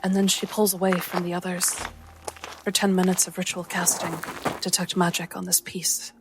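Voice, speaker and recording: A woman playing a tabletop game, narrating and voicing her character in a gentle, serious storytelling manner. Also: on the low side, level tone, moderate pace. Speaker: tabletop roleplay player. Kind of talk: in-character fantasy narration with acted dialogue. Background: music.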